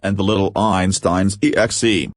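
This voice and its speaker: A man's voice: low-pitched, fairly even intonation, normal speed, no echo, clean studio sound. A man playing a gloating, menacing villain mastermind bent on revenge in an amateur fan-made drama. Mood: vengeful. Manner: boastful, menacing. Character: gloating vengeful male villain mastermind with evil plans.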